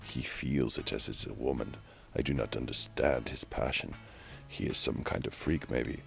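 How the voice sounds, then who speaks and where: A man's voice: rumbling low, vocal fry, fairly bright tone, soft background music, voice pushed down, heavily acted. A man voicing a flamboyant, theatrical announcer who muses grandly and teasingly in a mock play-by-play for an online video. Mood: wry, puzzled. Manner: grandiose, drawn-out, musing aloud, mock-dramatic.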